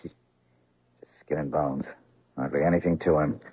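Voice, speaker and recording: An actor playing an earnest, mild-mannered doctor and dutiful son in an old-time radio drama. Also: male voice, a bass voice, steady tone, normal speed, husky. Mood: clinical. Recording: dull lo-fi old radio recording.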